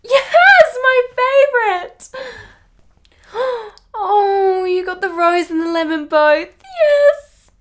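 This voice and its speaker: A woman's voice: very high-pitched, expressive, very slow, fairly bright tone, exaggerated voice. A woman playing a flirtatious, affectionate lady in a period radio play, with a teasing, genteel, theatrical manner. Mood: gleeful.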